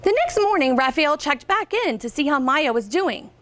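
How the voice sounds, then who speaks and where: A high-voiced American woman reads a picture book aloud to children. Her intonation is very lively, and she often turns to her young listeners with questions. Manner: expressive, pausing to ask listeners questions. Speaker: guest reader, company representative.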